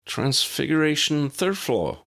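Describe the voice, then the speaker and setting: A man voicing a brisk, questioning young witch in an audiobook, with pointed delivery. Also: fairly high pitch, sing-song, vocal fry, fairly bright tone, voice pushed up, exaggerated voice. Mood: bossy. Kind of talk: audiobook character dialogue.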